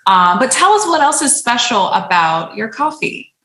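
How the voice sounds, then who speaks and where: A woman's voice: fairly high pitch, big pitch swings, normal speed, sharp tone, reverberant. A woman hosting a brand interview, bubbly and enthusiastic, full of praise for her guest. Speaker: host. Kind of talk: upbeat video interview.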